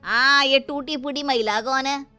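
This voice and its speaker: A woman's voice: high voice, fairly even intonation, a little slow, pushed and bright, faint music behind. A woman voicing a grumpy, rude government office official, curt and condescending, in a cartoon moral story. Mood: irritated, dismissive. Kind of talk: Hindi animated moral story voice acting.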